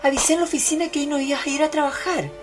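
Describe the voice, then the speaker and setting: A woman's voice: high-pitched, fairly even intonation, racing, lightly acted. An actress playing a caring, worried female friend in a radio drama, speaking in an anxious, urgent manner. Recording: background music under voice.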